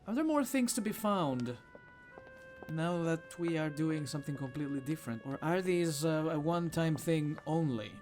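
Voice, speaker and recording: A man's voice: a little high, lively intonation, moderate pace, darkish tone, soft background music. A man doing casual game commentary, playful, with muttered asides and exclamations. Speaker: gaming video host.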